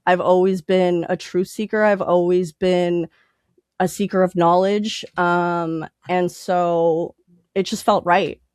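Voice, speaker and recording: A woman's voice: on the low side, level tone, takes it slowly, a little mellow, smooth, no echo. Lady podcast guest just talking it through, emphatic, repeats her points, really dry sound. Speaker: podcast guest, independent music artist. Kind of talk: casual conversational interview.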